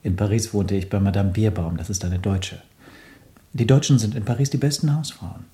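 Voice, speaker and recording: An actor playing a worldly, pushy, well-off dandy who talks in a suave, insinuating way in a radio play. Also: male voice, on the low side, moderate pace, mellow, breathy edge, no echo. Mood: smug.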